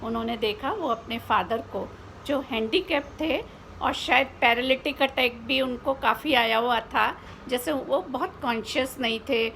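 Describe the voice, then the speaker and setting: A woman's voice: fairly high pitch, a little slow, gravelly, a bit bright, a little hiss or hum, rough recording. A woman with an Indian accent telling a children's story informally, explaining as she goes with rhetorical questions and mixed-in English words.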